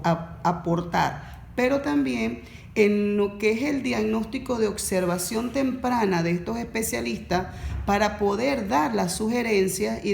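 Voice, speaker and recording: A woman, a course facilitator explaining on a podcast in a measured way that restates her points. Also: medium pitch, gravelly, a little mellow, roomy sound, a little hiss or hum.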